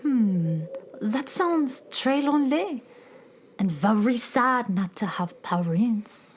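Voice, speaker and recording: Beatnik cat-girl of twelve, played by a woman, for children's radio: sincere, unhurried delivery, faint noise behind. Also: fairly high pitch, expressive. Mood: sympathetic. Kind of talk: children's radio drama acting.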